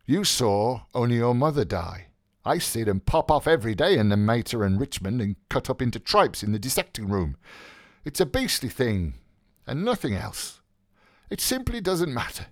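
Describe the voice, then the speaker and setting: A man's voice: mid-range voice, no room sound, clean studio sound, pitched above the performer's own voice, close to an everyday voice. A man voicing a brash, mocking young medical student in an audiobook, theatrical and flippant, with exclamatory, declamatory delivery. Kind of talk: literary audiobook character voice.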